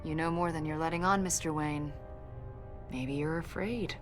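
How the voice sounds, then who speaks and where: Woman voicing a game agent lady, kinda low voice, pointed questions, sure of herself, accusing. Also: fairly even intonation, normal speed, over music, natural voice. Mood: accusatory. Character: probing female government agent, confident, accusatory, smoothly needling. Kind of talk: video game dialogue acting.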